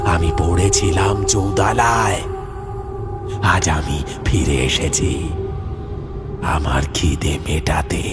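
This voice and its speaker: A man's voice: extremely low pitch, voice pushed down. A man voicing a vengeful undead corpse-ghoul in a horror story, bitter and accusing, with menace. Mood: menacing. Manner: slow, accusing, shouting at times. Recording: loud music behind the voice.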